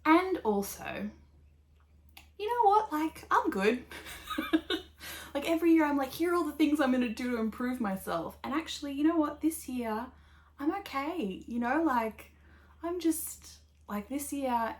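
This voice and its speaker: A woman's voice: high-pitched, big pitch swings, measured pace. A woman in her thirties with an Australian accent, hosting a casual knitting podcast, talking upbeat and rambling with lots of fillers and little laughs. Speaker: podcast host.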